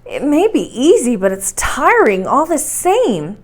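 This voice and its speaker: Woman as emphatic young explorer, high-pitched. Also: wildly swooping pitch, a little slow, exaggerated voice. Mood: weary protest. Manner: protesting, emphatic. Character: earnest, weary young male explorer, the professor's nephew. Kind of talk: storybook character voice.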